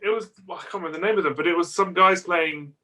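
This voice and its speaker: A man's voice: sits high, quick pace, sharp tone. A man in casual chat, easygoing, often trailing off and restarting his sentences.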